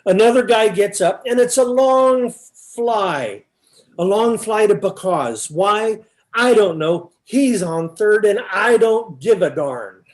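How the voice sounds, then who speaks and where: A man plays an emphatic comic character in an amateur stage sketch. The voice is high and slow, with drawn-out words, caught by a distant microphone. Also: expressive. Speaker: readers theater performer. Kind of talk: amateur comedy sketch acting.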